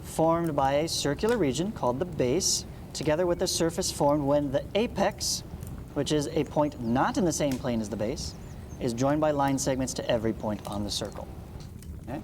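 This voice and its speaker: A man's voice: fairly high pitch, moderate pace, noisy background. A man teaching in a read-along lesson style, explaining step by step with asides and frequent check-in questions. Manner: explanatory, frequent check-in tags like "right?" and "okay?". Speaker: math teacher.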